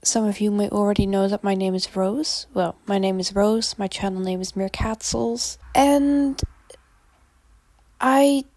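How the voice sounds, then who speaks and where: Chatty Dutch-accented teen girl, gravelly. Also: mid-range voice, fairly even intonation, a little slow, a little mellow, dry recording. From Netherlands. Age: teens. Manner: rambling and chatty, with frequent ums. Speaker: gaming channel creator. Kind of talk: casual voice-over chat over a speed build. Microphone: phone held in hand.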